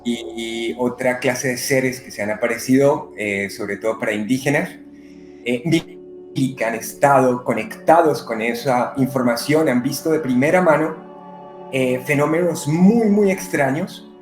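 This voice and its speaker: Man from Colombia, medium-guest type, measured and pausing, echoey room. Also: mid-range voice, soft background music. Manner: unhurried, many "eh" pauses. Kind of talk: conversational interview. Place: living in Brazil.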